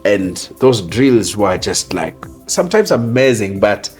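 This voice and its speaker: Kenyan male host, lowish voice, unhurried, hesitant, faint music behind. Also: very animated, darkish tone. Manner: slow, hesitant questions with fillers. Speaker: interview host. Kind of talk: interview questions.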